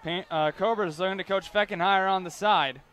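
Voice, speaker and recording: A man's voice: high voice, brisk, fairly bright tone, clean tone. A young man giving live play-by-play commentary as a sports announcer at a game.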